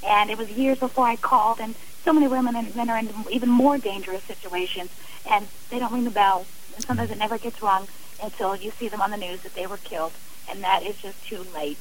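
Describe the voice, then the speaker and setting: A woman's voice: medium pitch, fairly even intonation, fast talker, low-quality recording. A woman speaking earnestly and emphatically as a guest in a radio interview. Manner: emphatic, impassioned.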